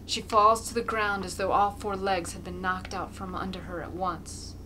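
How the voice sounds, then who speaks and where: A woman's voice: medium pitch, flat delivery, moderate pace, bright, forceful tone, a little hiss or hum, low-quality recording. A woman amateur reader giving an intense, theatrical narration of a classic novel.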